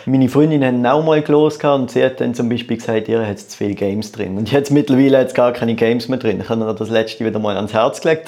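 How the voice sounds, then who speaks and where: A man's voice: mid-range voice, a little mellow. A man in his thirties with a Swiss German accent, chatting casually as an interview guest and often repeating words as he goes. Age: 30s. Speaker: podcast guest, start-up entrepreneur.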